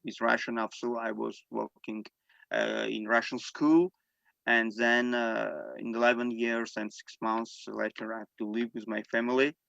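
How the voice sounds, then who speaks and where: A man whose first language is Armenian, speaking English in halting testimony on a video call, with many fillers and restarts. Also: mid-range voice, flat delivery, vocal fry, fairly bright tone, no room sound, muffled recording. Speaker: panel speaker giving testimony. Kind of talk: unscripted spoken testimony.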